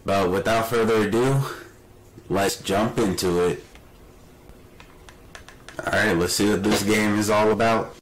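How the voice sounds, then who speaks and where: A man's voice: lowish voice, a little slow, pauses often, slight background noise, low-quality recording. A man with a stuffy, congested nose, giving casual commentary as he plays a horror game. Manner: relaxed, chatty. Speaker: gaming youtuber. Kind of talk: casual let's-play commentary.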